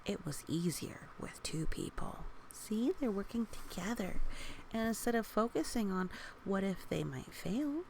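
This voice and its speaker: A woman reading a children's story aloud in a gentle, encouraging way, with casual asides to young listeners and a creaky voice that often softens toward a whisper. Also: medium pitch, lively intonation, measured pace, rough recording. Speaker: storybook reader. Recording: faint background noise.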